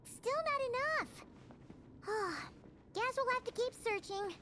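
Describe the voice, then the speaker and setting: Very high squeaky little fairy companion. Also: female voice, slow, noisy background, heavily acted. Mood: curious, grumbling. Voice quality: squeaky, childlike. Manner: exclamatory, expressive. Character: tiny excitable floating fairy companion, childlike girl. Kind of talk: video game voice acting.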